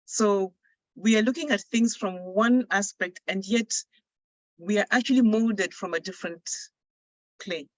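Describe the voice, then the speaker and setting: A woman's voice: mid-range voice, a little slow, no echo, muffled recording. A woman with a Ghanaian English accent, speaking earnestly and persuasively as a coach moderating an online panel. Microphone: video call.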